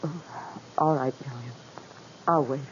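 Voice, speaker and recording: A woman's voice: a bass voice, measured pace. An actress playing an anxious, insistent middle-aged wife and mother in a 1940s radio drama, with an earnest, pleading delivery. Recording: muffled noisy 1940s radio recording.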